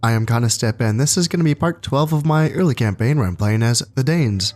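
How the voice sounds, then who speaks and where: A man hosting a strategy game playthrough, talking casually and thinking aloud, congested from allergies and a bit low on energy. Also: a little fast. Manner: relaxed, thinking aloud with fillers and restarts. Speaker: gaming channel host.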